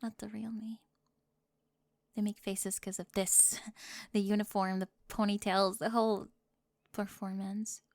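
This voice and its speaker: A woman voicing a flustered, defensive teenage cheerleader bully with a secret crush in an ASMR roleplay, halting and nervous, often dropping toward a whisper. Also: mid-range voice, flat delivery, darkish tone, no echo, lightly acted. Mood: vulnerable, regretful. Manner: halting, self-interrupting, nervous laughs, drops into whispers.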